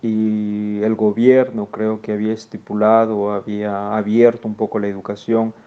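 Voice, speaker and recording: A man in his forties from Peru with a northern Peruvian Spanish accent, recalling his schooling in a halting way, often repeating words and saying "eh". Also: fairly low pitch, little pitch movement, clean tone, highs cut off. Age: 40s. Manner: halting, repeats words and restarts, many "eh" fillers. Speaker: educator guest. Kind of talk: personal recollection.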